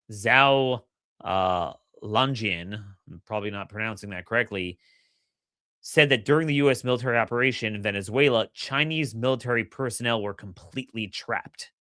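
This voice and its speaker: A middle-aged man hosting a solo political commentary show, slightly hoarse, energetic and opinionated, often repeating words as he starts a sentence. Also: mid-range voice, no room sound, studio quality. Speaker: podcast host.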